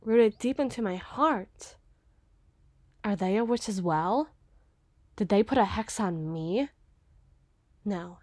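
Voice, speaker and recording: Woman voicing a slow, theatrical lovestruck witch. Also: mid-range voice, no room sound. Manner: theatrical, slow, old-fashioned wording. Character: scheming yandere witch, theatrical, smitten and obsessive. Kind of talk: ASMR roleplay audio drama.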